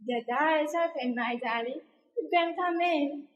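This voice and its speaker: Woman playing old grandmother, ailing, loving, slow drawn-out calls, fairy-tale storybook voice. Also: high voice, reverberant, in a large hall, lo-fi sound. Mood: weak, welcoming. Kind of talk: storybook character voice.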